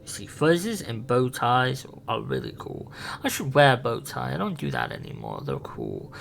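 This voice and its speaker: A man playing an eccentric, excitable time traveler who rambles with enthusiasm in a fan roleplay. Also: medium pitch, gravelly, slight background noise, heavily acted. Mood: excited. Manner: enthusiastic, rambling, quick bursts.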